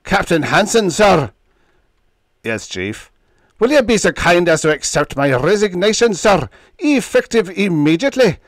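Man playing a grizzled Scottish engineer, indignant, formal, raised higher voice, dry sound. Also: sing-song, unhurried, no echo.

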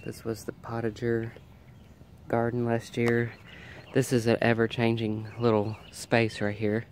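A man's voice: mid-range voice, completely flat delivery, unhurried, slight background noise. A man with a nasal, allergy-congested voice chats casually as he films a walk through his backyard garden. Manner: chatty, thinking aloud while filming. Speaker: garden vlogger.

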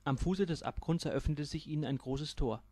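A man's voice: a little high, brisk, darkish tone, no room sound. A man narrating his own humorous science fiction story in a dry storytelling manner. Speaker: author reading his own book. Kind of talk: audiobook narration.

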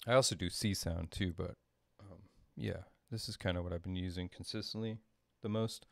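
A middle-aged man giving a casual coding demo as a tutorial presenter, halting and thinking aloud as he works. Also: sits low, steady tone, creaky, no echo. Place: small home room, indoors.

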